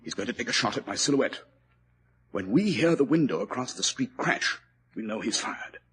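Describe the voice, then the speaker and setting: Man voicing a brisk, dry detective, clipped and commanding, lively bright tone, old radio hiss. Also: mid-range voice, natural voice. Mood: tense hushed. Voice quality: clipped, crisp upper-class delivery. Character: cool, commanding male detective, precise and dry. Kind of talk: 1940s radio acting.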